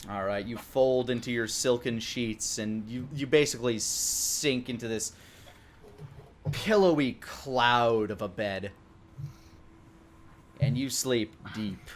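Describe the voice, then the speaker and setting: A man acts as game master for a tabletop game. His voice is middle-pitched. He improvises scene descriptions, feeling his way through the phrases. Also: takes it slowly, pauses often, slight background noise.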